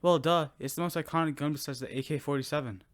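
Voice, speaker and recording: A man's voice: on the high side, quick pace, no echo, close to an everyday voice. A man playing an eager, earnest young fighter new to weapons, speaking casually.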